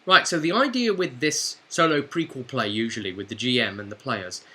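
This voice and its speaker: A man with a very bright, forceful voice at a middle pitch, narrating a tabletop role-play recap as its host. He tells it informally and expressively, like a game master talking straight to viewers. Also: normal speed. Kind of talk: animated storytelling recap to viewers.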